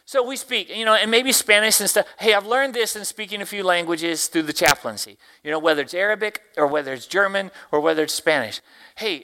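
A man's voice: high-pitched, sharp tone. A middle-aged man from Tennessee with a Southern accent, preaching a folksy sermon to a congregation and asking them questions.

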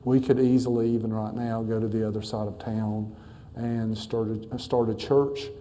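Dark-voiced missionary pastor. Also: male voice, lowish voice, little pitch movement, slight background noise. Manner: folksy, halting with many fillers. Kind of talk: church talk to a congregation. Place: church.

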